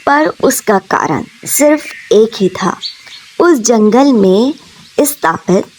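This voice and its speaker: A woman's voice: fairly high pitch, animated, slow pace, a little mellow, slight background noise, low-quality recording. A woman narrating a scripted children's story.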